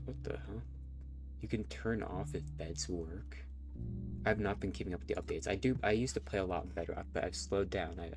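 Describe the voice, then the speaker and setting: A man with a nasal, stuffy voice, rambling and self-conscious as he chats through a live game stream. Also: deep voice. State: stuffy nose from allergies.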